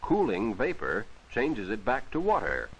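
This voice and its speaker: A man's voice: on the low side, huge pitch swings, measured pace, breathy edge, low-quality recording. A man narrating a vintage 1940s educational film for children, explaining things simply and instructively on an old film soundtrack.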